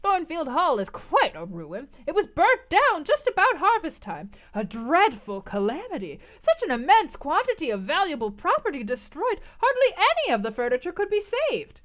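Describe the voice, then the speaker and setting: A woman voicing a respectable middle-aged innkeeper, a former butler who is deferential, excitable and confiding, in a storybook reading. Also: extremely high pitch, normal speed, hardly any pauses, pitched above the performer's own voice, heavily acted. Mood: eager.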